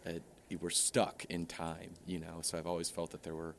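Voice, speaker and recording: A man being interviewed in a comedic mock interview, talking in a halting, deadpan way full of hesitations. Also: sits low, gravelly, a little hiss or hum. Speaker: interview guest.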